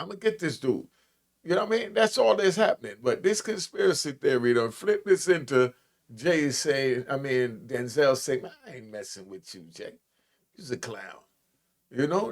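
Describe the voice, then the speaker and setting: A man hosting a reaction show, talking casually and emphatically in informal slang. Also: medium pitch. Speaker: host of an online commentary channel.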